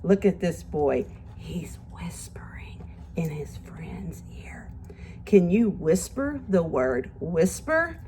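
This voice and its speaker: A woman's voice: on the low side, very animated, slow, a little mellow, a little hiss or hum. A woman teacher giving a read-aloud lesson to young children, speaking expressively in simple words and addressing them directly with questions and praise.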